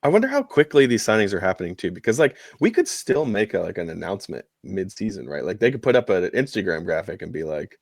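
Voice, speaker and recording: A man's voice: low voice, very animated, quick pace, few pauses, dry recording, clean studio sound. A man co-hosting a sports podcast in casual conversation, hedging his points and leaning on "like" as he talks. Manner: hedging, conversational, heavy use of "like". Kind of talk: casual soccer commentary chat.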